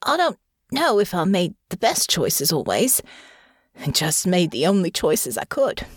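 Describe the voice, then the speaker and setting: A woman voicing a humble young male airship crewman with a soft, homey rural drawl and folksy grammar. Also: on the low side, big pitch swings, measured pace, breathy edge, dry recording, studio quality, exaggerated voice. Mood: pensive. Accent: rural country dialect. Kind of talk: audiobook character voice.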